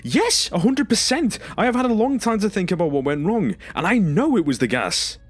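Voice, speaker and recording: Actor playing a husky, indignant, exasperated man. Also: sits high, sing-song, normal speed, no room sound, soft background music. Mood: desperate, insistent. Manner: indignant, pleading, emphatic. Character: distressed, sarcastic, exasperated man, traumatised survivor of a pod. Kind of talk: audio drama acting.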